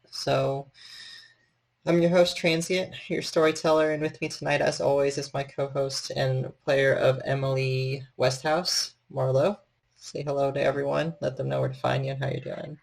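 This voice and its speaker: A man's voice: medium pitch, gravelly. A man chatting casually as the host and storyteller of a livestream. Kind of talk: casual livestream hosting chat.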